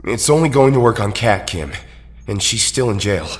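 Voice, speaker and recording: An actor playing an anxious, cautious superhero teammate in an audio drama. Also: male voice, on the low side, expressive, normal speed, close to an everyday voice. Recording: long reverb.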